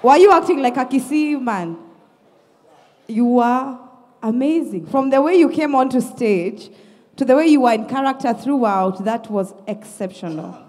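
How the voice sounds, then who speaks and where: A woman's voice: high voice, lively intonation, normal speed, darkish tone. Effusive woman judge in an echoey hall. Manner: effusive, emphatic praise. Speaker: judge at a school performance. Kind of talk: spoken feedback to performers on stage. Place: large indoor theatre auditorium. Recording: echoey auditorium with long reverb.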